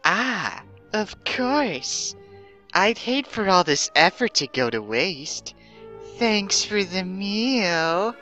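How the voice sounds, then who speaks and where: A woman's voice: medium pitch, big pitch swings, extremely slow, pushed and bright, faint music behind, exaggerated voice. A woman playing an elegant, doting and easily embarrassed ghost lady, gushing and melodramatic, in a dramatic story reading. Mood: pleased.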